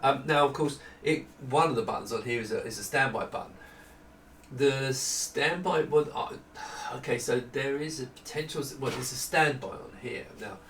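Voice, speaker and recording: Middle-aged man, casual product demo, halting explanations, echoey room, off-mic, faint noise. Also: medium pitch, vocal fry, fairly bright tone, far from the mic. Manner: halting, thinking aloud, explanatory. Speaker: maker presenting a product prototype. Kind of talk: casual unscripted product walkthrough. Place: small home office room.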